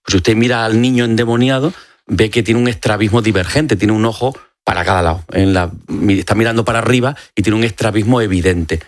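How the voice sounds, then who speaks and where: A man with a Spanish accent from Spain speaks in a lowish voice as an expert guest. He explains in a courteous, conversational way, in a dry radio studio. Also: brisk, no room sound. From Extremadura, Spain. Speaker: interview guest, doctor and ophthalmology professor.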